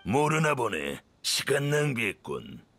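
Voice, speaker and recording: A man's voice: mid-range voice, huge pitch swings, measured pace, fairly bright tone, soft background music, heavily acted. A man voicing a cold, menacing swordsman in a video game, speaking tersely and dismissively. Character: cold, curt, menacing swordsman searching for someone.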